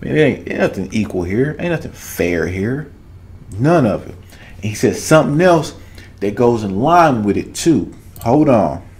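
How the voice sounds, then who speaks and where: A man's voice: mid-range voice, sing-song, moderate pace, a little mellow. A man in his thirties from Arkansas with a Southern US accent, giving casual, emphatic commentary as a reaction video host and repeating phrases for weight. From Arkansas, United States. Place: small home room with cloth-draped backdrop. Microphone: desk condenser microphone, headphones on.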